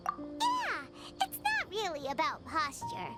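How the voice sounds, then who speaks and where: A very high, squeaky little game fairy, bubbly and childlike. The pitch bounces around in lively swings, and game music plays clearly behind the voice. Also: female voice, takes it slowly, exaggerated voice. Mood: earnest advising. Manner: bubbly, speaks of self in third person. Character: tiny excitable floating fairy companion, squeaky and childlike. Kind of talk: video game character acting.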